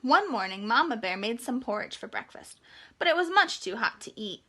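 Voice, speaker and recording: Female children's storyteller, higher-pitched and very bright, expressive, explains things simply. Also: moderate pace. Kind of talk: storytelling read-aloud for young children.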